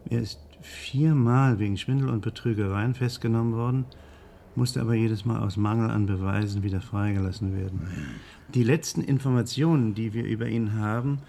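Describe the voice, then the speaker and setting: An actor playing a formal, theatrical man who speaks deliberately in a radio crime drama. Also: lowish voice, vocal fry, warm tone, slight background noise. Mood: reading aloud. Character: courtly, theatrical male actor playing detective.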